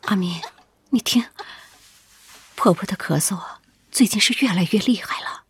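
A young wife in a radio drama, anxious and pleading, with a stubborn streak. Her voice is hushed and very breathy, recorded close and dry. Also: medium pitch, moderate pace, no room sound, in a whisper. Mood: worried, hushed.

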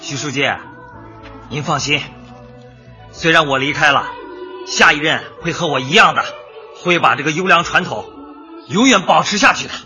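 An actor in a radio drama playing an earnest, grateful squadron leader with a heartfelt, declaiming manner. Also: male voice, a little high, normal speed, pauses often, sharp tone. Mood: earnest, reassuring. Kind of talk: Chinese radio drama acting. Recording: muffled, faint music behind.

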